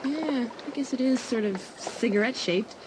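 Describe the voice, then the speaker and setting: A woman's voice: fairly high pitch, normal speed, background noise, natural voice. An actress playing a forthright, breezy teenage girl, the heroine's friend, in a dramatised audiobook. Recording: music clearly behind the voice.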